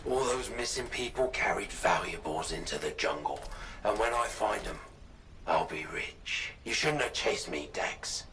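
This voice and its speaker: An actor playing a sneering, greedy mercenary bandit in a video game, taunting and menacing. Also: male voice, lowish voice, expressive, a little slow, bright, forceful tone, slight background noise. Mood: gloating. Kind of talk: video game villain dialogue.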